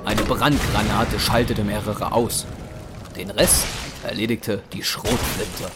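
A man's voice: lowish voice, fairly even intonation, slow. A man narrating a scripted German audio drama. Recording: music bed under narration.

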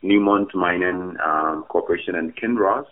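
Academic guest from Ghana, male, deep, halting with fillers, remote call sound. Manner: thoughtful, hesitant with fillers and restarts. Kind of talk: podcast interview answers. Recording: tinny phone-like remote line.

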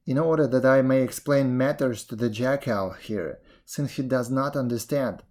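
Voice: medium pitch, moderate pace, darkish tone, close to an everyday voice. A storyteller voicing a frightened man who begs politely for his life in a folktale. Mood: nervous begging. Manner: beseeching, polite.

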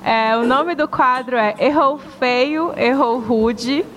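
A woman with a fairly high voice hosts a casual podcast and asks easygoing, slightly hesitant questions. Faint music runs underneath. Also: takes it slowly, low-quality recording. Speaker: podcast host.

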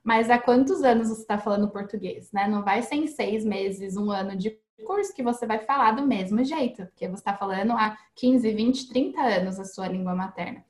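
A woman's voice: mid-range voice, a little fast, hardly any pauses, reverberant. A woman guest giving casual, chatty advice on learning English, explaining with everyday examples and frequent tag questions.

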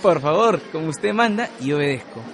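An actor with a Peruvian accent playing a cheeky, self-satisfied young son who works as a delivery rider in a radio soap. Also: male voice, a little high, animated, fairly quick, a loud crowd. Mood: eager. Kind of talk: educational radio soap acting.

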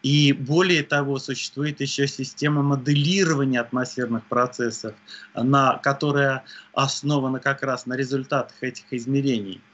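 A man answering interview questions about weather observation, speaking in a measured way with frequent hesitations. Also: mid-range voice, steady tone, a bit bright, dull audio. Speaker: meteorologist guest.

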